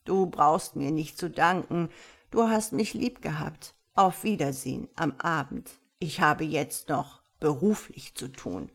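A woman voicing a wise, patient tomcat in a storybook, speaking in a measured, gently instructive and slightly pompous way. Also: sits low, gravelly, heavily acted. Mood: kindly, matter-of-fact. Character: wise, patient male tomcat, calm mysterious mentor. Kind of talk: storybook character voice.

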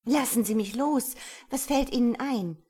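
A woman's voice: on the high side, unhurried, warm tone, slightly rough, pitched above the performer's own voice. A woman voicing a defiant, indignant woman protesting emphatically and with agitation in a romance audiobook. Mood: indignant, agitated. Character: defiant, indignant young woman resisting a captor. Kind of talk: romance audiobook character dialogue.

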